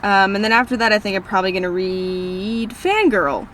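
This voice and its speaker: A woman's voice: medium pitch, animated, moderate pace, fairly bright tone, a little hiss or hum. Bubbly teen girl with Minnesota accent. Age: teens. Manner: bubbly, rambling, self-deprecating. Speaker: book vlogger. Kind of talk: casual Q&A vlog. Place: in a parked car.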